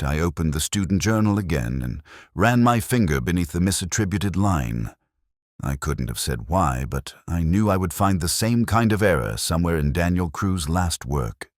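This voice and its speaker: A man playing a brooding, cerebral older detective inspector, narrating a mystery in a measured, grave, literary manner for a radio drama. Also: rumbling low, dry recording, clean studio sound, exaggerated voice.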